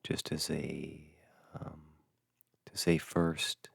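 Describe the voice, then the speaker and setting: Male meditation guide, extremely low voice, gentle and halting, close dry sound. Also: level tone, creaky, breathy edge, dry recording. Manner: gentle, hushed, halting with long pauses. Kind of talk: unscripted dharma talk for guided meditation.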